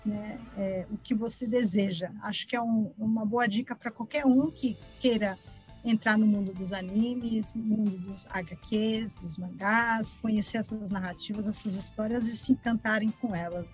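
A woman's voice: medium pitch, fairly even intonation, moderate pace, faint music behind. A woman with a Brazilian accent giving casual, chatty and encouraging answers as an interview guest. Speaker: interview guest, author and art teacher.

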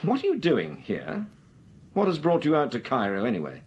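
An actor playing an urbane, wry amateur detective in a radio drama. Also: male voice, mid-range voice, wildly swooping pitch, moderate pace, breathy edge, heavily acted. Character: suave amateur detective, dry wit.

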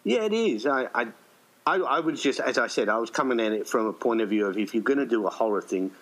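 A man's voice: medium pitch, animated, fast. A man speaking conversationally as an interviewed theatre writer and director, explaining things in a rambling way and often restating himself.

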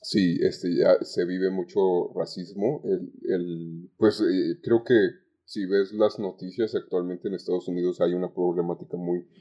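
A low, mellow male voice with a Mexican accent, recorded with slightly dull, lo-fi sound. The young man talks conversationally as an interview guest, feeling his way through sentences and repeating words. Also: fairly even intonation. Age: young adult. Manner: hesitant, halting phrasing with repeated words. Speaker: podcast guest, call center agent. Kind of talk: conversational interview, recounting work anecdotes.

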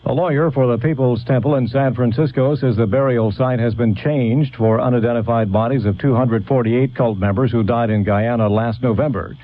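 A male radio news anchor delivers a formal, measured bulletin. His pitch sits in the middle range. The recording is dull, old lo-fi radio.

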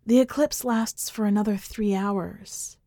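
Lady reader doing a bitter vengeful woman, daring and raw, audio story, pitched a bit up, dry sound. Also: steady tone, a little slow, mellow, no echo, close to an everyday voice. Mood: grim resolve. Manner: taunting, emotionally raw.

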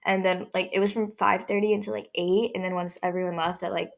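Teen girl podcast host, hoarse scratchy voice, a touch low-pitched, loose rambling delivery. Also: flat delivery, fast. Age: teens. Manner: rambling, many fillers and restarts. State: hoarse, strained voice. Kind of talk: casual solo podcast chat.

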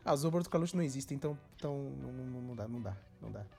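A man's voice: mid-range voice, animated, very fast, gravelly, a little mellow, faint music behind. A young man, chatting and joking on a podcast, rambling and repeating himself in slangy street talk. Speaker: podcast co-host. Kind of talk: casual joking chat.

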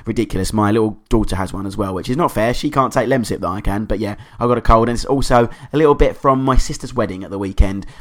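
Man, hoarse with a cold, podcast host, fairly low voice, enthusiastic rambling chat. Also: fast, few pauses. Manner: chatty, enthusiastic, rambling asides. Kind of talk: casual podcast monologue.

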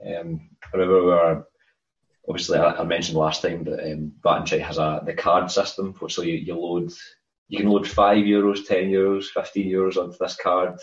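A man's voice: low-pitched, level tone, moderate pace. A Scottish man co-hosting a podcast, chatting casually and rambling, often repeating words as he starts a thought. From Scotland. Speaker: podcast co-host. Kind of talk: casual chat. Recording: roomy dull lo-fi sound.

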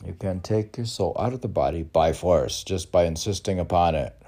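An older man reading his own poetry aloud in a halting manner, mixing recitation with casual asides. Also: sits low, dark tone. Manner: halting, spells out and repeats words. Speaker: poet reading own work.

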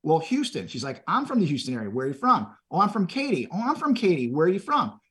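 Man from Texas, husky voice, a bit high, earnest motivational podcast guest, middle-aged. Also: huge pitch swings, fast talker, a bit bright. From Texas, USA. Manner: earnest, motivational, repeats words when starting phrases. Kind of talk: conversational storytelling.

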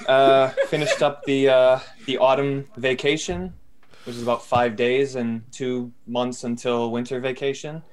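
A man with an ordinary middle pitch chats on a group call. He jokes with a straight face, playing his bits mock-seriously for his friends. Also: unhurried. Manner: jokey, deadpan mock-serious. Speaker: podcast co-host. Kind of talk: casual group chat. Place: home, far from family.

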